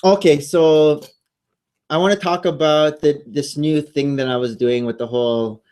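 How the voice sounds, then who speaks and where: A man's voice: fairly high pitch, fairly even intonation, a little slow, a little mellow, smooth. A man co-hosting a casual live stream, rambling with many ums and restarts, talking into a new microphone. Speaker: co-host. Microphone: new studio microphone.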